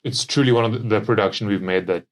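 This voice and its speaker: Guy from south africa with a low voice, just chatting casually. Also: quick pace. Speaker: podcast company co-founder. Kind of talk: casual conversation.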